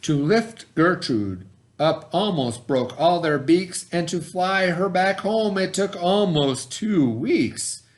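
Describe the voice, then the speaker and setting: A man reading a rhyming children's story aloud in a theatrical, expressive way, with dramatic pauses. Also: sits high. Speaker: storybook reader.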